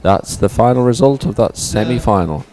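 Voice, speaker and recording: A man's voice: medium pitch, animated, moderate pace, a crowd in the background. A man co-hosting live race commentary and reading out results, talking in an enthusiastic, wordy way. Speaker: sports commentator, co-host.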